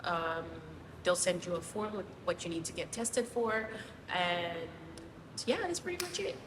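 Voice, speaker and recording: A woman from Zimbabwe, a guest teacher, explaining things casually with many pauses and ums. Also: lowish voice, animated. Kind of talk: casual interview answers. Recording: distant mic, roomy hall, noisy.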